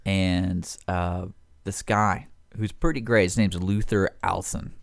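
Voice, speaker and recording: A man's voice: deep voice, steady tone, measured pace, gravelly, dry recording, studio quality. A man hosting a radio show with casual chat, in an informal, joking and rambling manner. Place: radio studio.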